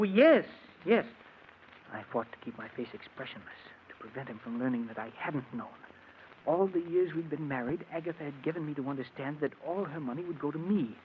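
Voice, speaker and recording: On a muffled, faintly hissing old radio play, a soft-spoken killer unburdens himself as a male actor plays him. His voice sits at a middling pitch. He is measured and courteous, earnestly justifying himself as he goes. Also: close to an everyday voice. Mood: hushed, secretive. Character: soft-spoken, self-justifying wife murderer confessing his past. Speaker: actor playing narrator and lead character. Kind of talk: 1940s radio drama acting, confessional narration. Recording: dull, noisy old radio recording.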